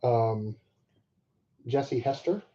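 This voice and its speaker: A man with an average-pitched voice co-hosts a livestream. He talks casually while opening cards. The sound is dull and slightly muffled. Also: drawn-out words, warm tone. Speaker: livestream co-host.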